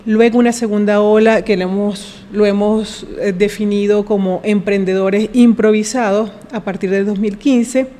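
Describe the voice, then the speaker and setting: A woman's voice: mid-range voice, steady tone, normal speed, few pauses, mellow. A woman researcher presenting an academic lecture in a large conference room. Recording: reverberant hall, played-back lecture recording.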